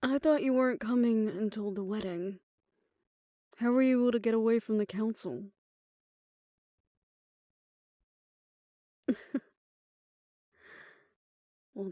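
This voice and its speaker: A woman playing a weary, proud aging fixer talking softly and wryly to her lover in an intimate roleplay audio drama. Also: mid-range voice, no echo, studio quality. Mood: tender relief.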